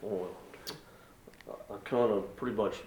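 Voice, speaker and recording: A man's voice: fairly low pitch, fairly even intonation, unhurried, a little mellow, slight background noise. An older man, a shop client, chatting casually in a rambling way and often repeating himself. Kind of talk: casual chat. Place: hi-fi showroom, indoors. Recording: roomy showroom with music.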